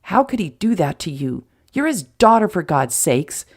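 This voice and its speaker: A woman reading a protective young man who speaks low and softly with concern. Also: sing-song, moderate pace. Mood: fierce, upset.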